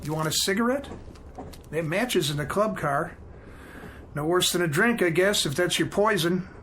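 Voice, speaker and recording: An American actor playing a folksy, matter-of-fact train conductor in a radio drama, plain-spoken and chatty. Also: male voice, high-pitched, normal speed, a bit bright, a little hiss or hum. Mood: businesslike.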